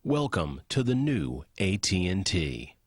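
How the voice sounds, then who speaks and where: A man's voice: low-pitched, very animated, slow, breathy edge, dry recording, studio quality. A man reading a scripted sponsorship message as an announcer.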